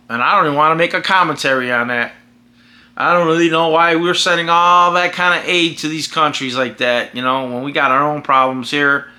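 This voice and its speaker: A man's voice: on the high side, sharp tone. An American man holding forth in a casual, opinionated vlog monologue, talking emphatically and rambling with frequent restarts. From United States. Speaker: vlogger and podcaster.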